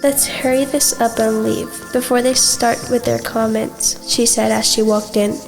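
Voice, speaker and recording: A woman's voice: on the high side, steady tone, a little slow, vocal fry. A girl in her early teens reading a scripted story aloud as a student, over music. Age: young teenager.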